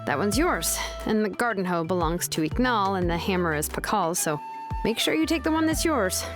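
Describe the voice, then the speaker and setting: Young female blacksmith, played by a woman, laid-back, nonchalant, animated intonation, video game dialogue, faint music. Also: mid-range voice, close to an everyday voice. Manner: casual, unhurried, nonchalant.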